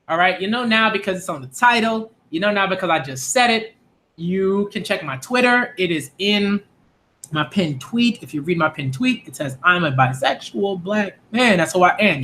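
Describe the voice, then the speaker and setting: A man's voice: sits high, lively intonation, normal speed, sharp tone. A man in his late twenties, a channel host talking straight to his viewers in an emphatic, repetitive, exasperated way. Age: 20s. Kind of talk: direct-to-camera rant.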